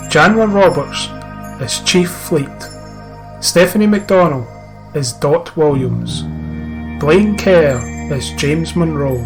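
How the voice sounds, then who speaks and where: A man with a fairly high voice reads scripted credits as an announcer. He goes slowly and deliberately over clear guitar music.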